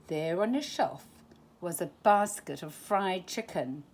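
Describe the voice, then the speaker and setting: A woman reading a children's storybook aloud, slow and expressive. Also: lowish voice. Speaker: storytime reader.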